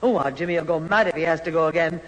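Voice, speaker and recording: A woman's voice: deep voice, rapid-fire, close to an everyday voice. A woman playing a homely, long-suffering middle-aged mother with a Northern English accent in a 1970s radio comedy. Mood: brisk, exasperated. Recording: hall sound, faint hiss.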